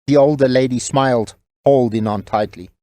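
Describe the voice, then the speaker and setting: A man's voice: mid-range voice, normal speed, mellow, no echo. A man reading scripted novel narration as the storyteller of an audio drama.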